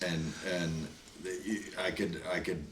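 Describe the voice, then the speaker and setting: Man, cast member, extremely deep voice, halting, repeats words, distant mic. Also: steady tone, fairly bright tone, a little hiss or hum. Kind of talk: guest chat. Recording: echoey room, distant mic.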